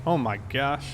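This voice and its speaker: A man's voice: mid-range voice, background noise. A man in casual police roleplay in an online game, switching between chat and radio calls.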